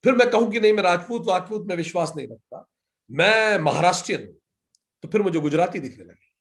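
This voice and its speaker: A man's voice: high-pitched, expressive, brisk. A man with an Indian accent teaching scripture, building points through repetition and asking and answering his own questions. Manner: emphatic, repetitive rhetorical build-ups. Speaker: spiritual teacher. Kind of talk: scripture lecture.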